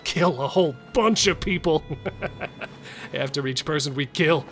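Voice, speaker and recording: A man playing a wary yet bloodthirsty serial killer in an audio drama, speaking with deliberate intensity. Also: on the high side, fairly bright tone, soft background music. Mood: eager, bloodthirsty. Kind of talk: audio thriller acting.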